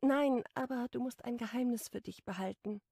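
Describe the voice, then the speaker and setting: Woman narrator as a young woman confiding, wry and defensive, kinda stressed, creaky voice, audiobook. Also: fairly high pitch, normal speed, dark tone, dry recording, studio quality, pitched above the performer's own voice. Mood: wry, needling.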